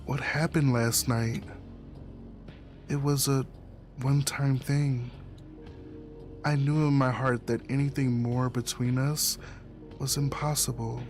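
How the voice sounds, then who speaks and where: A man narrates a visual novel at a very slow, deliberate pace. His pitch sits in the middle, and his delivery is earnest and dramatic. Soft music plays behind him. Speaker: streamer reading a visual novel aloud. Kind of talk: dramatic story reading, first-person narration. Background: game music under the voice.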